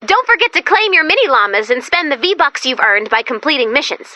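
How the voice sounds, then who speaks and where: A woman's voice: high-pitched, very animated, brisk, pushed and bright, dry recording, full character voice. A woman voicing an upbeat, quirky female robot assistant who guides the commander in a video game, with a chatty, theatrical, comic manner. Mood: upbeat, eager.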